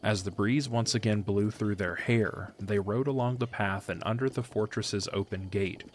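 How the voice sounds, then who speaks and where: A man narrating a scripted fantasy story in a measured, literary storytelling manner. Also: on the low side, fairly even intonation. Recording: faint background ambience noise.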